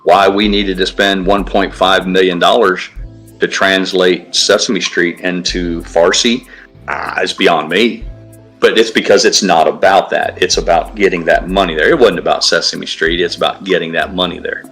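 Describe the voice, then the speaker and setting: A man's voice: sits low, steady tone, normal speed, a bit bright, faint music behind. A man co-hosting a casual livestream, talking in a blunt, profane, ranting way. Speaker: livestream co-host. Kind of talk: casual stream chat.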